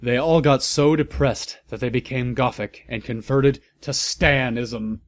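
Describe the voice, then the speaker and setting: A man's voice: medium pitch, steady tone, a little slow, no echo. Casting: male narrator, mock-serious and theatrical, comic audiobook style, very little room sound. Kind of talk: comedic dramatic reading of fan fiction.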